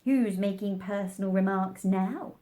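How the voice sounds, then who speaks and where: A woman's voice: mid-range voice, unhurried, dark tone, close to an everyday voice. A woman voicing a rude, bossy man, a storybook hatter with a blunt, triumphant manner. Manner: blunt, interrupting. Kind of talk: storybook character voice.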